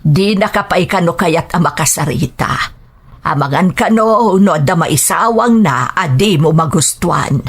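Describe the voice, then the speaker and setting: A woman playing a firm older mother who admonishes in measured tones, in an audio drama. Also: fairly low pitch, expressive, slightly rough, heavily acted. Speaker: voice actor. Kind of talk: Ilocano audio drama acting.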